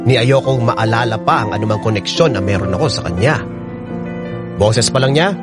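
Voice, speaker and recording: A male narrator reads a scripted first-person account in dramatic radio style. His voice sits at an average pitch, with music clearly playing underneath. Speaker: narrator reading a letter sender's story. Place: radio studio. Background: background music.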